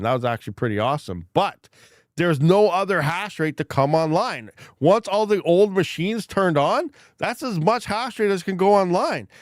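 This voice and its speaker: A man's voice: on the high side, dry recording, studio quality. A man hosting a crypto mining livestream and reading chat comments, congested while recovering from a lost voice, rambling frankly with many fillers and restarts.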